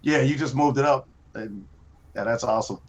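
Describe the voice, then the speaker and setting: A man's voice: medium pitch, normal speed, muffled recording. Laid-back man joking while he draws. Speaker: comic artist on a live drawing stream. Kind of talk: casual chat while drawing.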